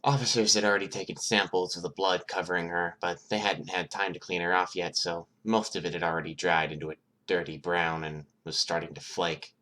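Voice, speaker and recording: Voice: low voice, fairly even intonation, brisk, few pauses, a bit bright. A narrator reading scripted fiction aloud as an amateur audiobook.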